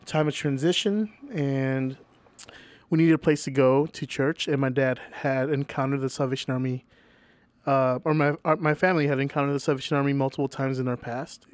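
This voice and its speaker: A young man from Venezuela telling his story as a podcast guest, loose and goofy, laughing between thoughts. His voice sits at a middle pitch. The sound is dry and close. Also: a little mellow, dry recording. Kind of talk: casual chat.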